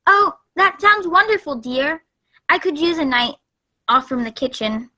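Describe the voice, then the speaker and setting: A woman's voice: high-pitched, animated, unhurried, pushed and bright, full character voice. A woman playing a mild suburban mom in a radio commercial skit. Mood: pleasant. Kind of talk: old-time radio commercial acting.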